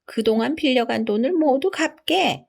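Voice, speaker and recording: A woman's voice: high voice, animated, moderate pace, vocal fry, a little mellow, voice pushed up, exaggerated voice. A woman voicing a greedy, haughty rich man in a storybook, pompous, sly and demanding.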